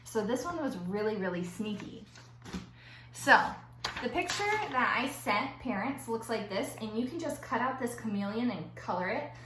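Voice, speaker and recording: A woman schoolteacher reading aloud to young children and explaining an activity in simple, clear phrases. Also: a little high, expressive, normal speed. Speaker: elementary school teacher. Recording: echoey room with faint background noise.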